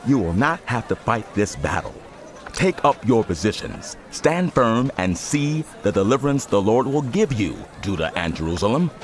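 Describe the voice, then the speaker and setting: An actor playing a solemn, assured Levite prophet in a dramatized audio Bible, exhorting with authority. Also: male voice, fairly low pitch, sing-song, normal speed. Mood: commanding, reassuring.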